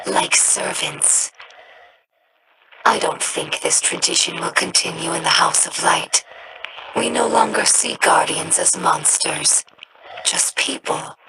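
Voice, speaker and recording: A woman voicing an earnest, scholarly young female alien in a video game, speaking in measured, formal, thoughtful phrases. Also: on the low side, creaky, heavy background noise. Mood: reflective. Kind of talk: video game character dialogue.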